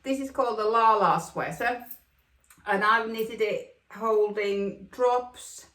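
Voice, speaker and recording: A woman's voice: fairly high pitch, slow pace, a bit bright. A woman from Finland hosting a knitting podcast, talking casually with lots of ums and an upbeat, chatty manner. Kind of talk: casual chat to camera. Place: home room with plastic extension, rain outside. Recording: echoey room.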